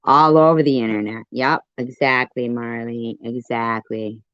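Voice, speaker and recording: A woman hosts a casual live stream commentary. She talks slowly and deliberately, often repeating herself. The sound is dull and lo-fi, with very little room to it. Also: very deep voice, steady tone, fairly bright tone, clear, resonant tone, no echo.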